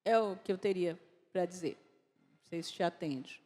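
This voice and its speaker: A Brazilian woman, an author speaking at a literary festival, talking unscripted in a measured way with pauses and restarts. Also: fairly low pitch, a little mellow. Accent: Brazilian Portuguese. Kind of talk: unscripted talk answering audience questions. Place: lecture hall at a festival. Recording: long reverb of a large hall.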